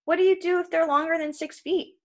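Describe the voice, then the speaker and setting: A woman's voice: very high voice, fairly even intonation, brisk, voice pushed up. A woman voicing a young boy hero in a storybook, wary and sly, keeping up a casual front. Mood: falsely flattering. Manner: feigned casualness with a bully. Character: young boy hero, wary, quick-witted, slyly flattering.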